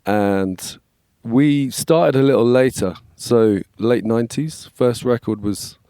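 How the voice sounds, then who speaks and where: Scratchy-voiced English man, dark tone. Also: medium pitch, takes it slowly, smooth, no room sound, clean studio sound. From England. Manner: relaxed, storytelling, frequent "yeah, man". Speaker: guest, worship label manager. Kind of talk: casual conversation.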